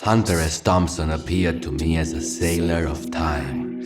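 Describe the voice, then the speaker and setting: Casting: male actor as brooding late radio host of a surreal city, low voice, poetic and profane, loud music underneath. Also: fairly even intonation, unhurried. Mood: wry, reverent. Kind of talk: scripted fiction radio monologue. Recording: music behind the voice.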